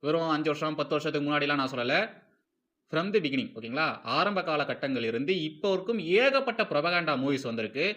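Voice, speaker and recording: A man's voice: fairly high pitch, sharp tone. A man with a Tamil Nadu accent giving informal, opinionated video commentary, emphatic and repetitive, asking rhetorical questions and mixing in English phrases. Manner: mixes English phrases into talk. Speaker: host of a film commentary series.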